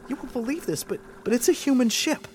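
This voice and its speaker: A man playing an embattled, wry and excitable space fleet lieutenant in an audio drama, talking in a hesitant, urgent mutter. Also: very high voice, fairly quick, a little hiss or hum. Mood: intrigued. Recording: loud engine-like ship ambience behind.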